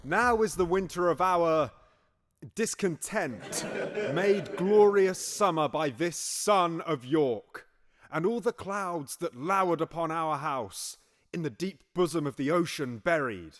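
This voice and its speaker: Deliberate, declaiming royal duke. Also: male voice, fairly high pitch, expressive, a bit bright. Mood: grand oration. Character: royal duke making a grand victory oration. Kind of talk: classical Shakespearean audio theatre.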